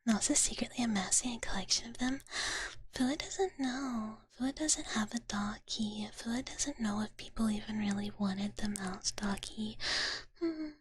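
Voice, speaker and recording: A woman's voice: a little high, unhurried, breathy edge, no room sound. A woman whispering breathily and playfully close to the microphone in a casual ASMR livestream chat. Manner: playful, talks about herself in third person.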